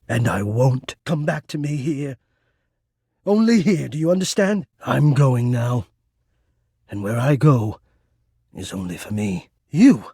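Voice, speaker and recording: An actor playing an anxious, lovelorn, poetic spirit of the ductwork in a video game, speaking in a theatrical, florid, halting and earnest way. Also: male voice, mid-range voice, darkish tone, breathy edge, dry recording, studio quality. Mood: fearful, tender, then ashamed, frightened. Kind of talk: video game character acting.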